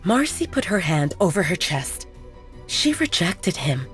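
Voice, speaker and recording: A woman narrating a werewolf romance in measured, scripted storytelling. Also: on the low side, big pitch swings, soft background music.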